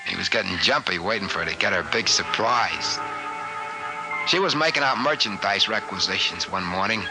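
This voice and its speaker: An actor playing a folksy, easygoing and slightly naive trader in 1950s radio drama, with a plainspoken, colloquial delivery. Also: male voice, on the low side, lively intonation, moderate pace, poor audio. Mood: wry, reflective. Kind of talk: 1950s radio drama first-person narration. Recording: 1950s radio broadcast with music behind. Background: music.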